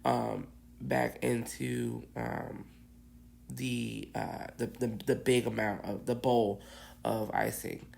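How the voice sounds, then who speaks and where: Raspy, gravelly woman with a hoarse voice. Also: very deep voice, animated, takes it slowly, a little mellow, slight background noise. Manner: rambling, lots of ums and restarts. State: hoarse, getting over bronchitis. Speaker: cookie decorator. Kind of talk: casual how-to tutorial.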